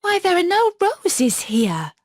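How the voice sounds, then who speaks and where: A woman's voice: very high voice, huge pitch swings, slow, no echo, studio quality, voice pushed up, exaggerated voice. A woman voicing an earnest, distressed little girl in a fairy tale, exclaiming plaintively. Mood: surprised. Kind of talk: storybook character voice.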